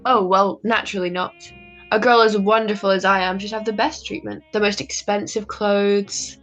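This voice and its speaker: A woman playing a loving young wartime wife in a 1940s-style radio drama, affectionate and gently wry. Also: mid-range voice, fairly even intonation, normal speed, close to an everyday voice. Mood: affectionate, playful. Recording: dull muffled audio, faint music.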